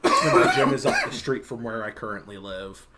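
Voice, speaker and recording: A man's voice: lowish voice, wildly swooping pitch, normal speed, heavy background noise. A young man co-hosting a podcast, talking casually and rambling with lots of fillers and jokes. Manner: rambling, filler-heavy, joking. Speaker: podcast co-host. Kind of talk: casual chat.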